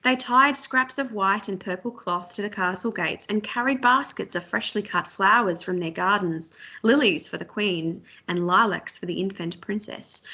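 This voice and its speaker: A woman narrating a novel aloud for students in a measured, expressive storytelling manner. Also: mid-range voice. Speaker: teacher reading aloud to students.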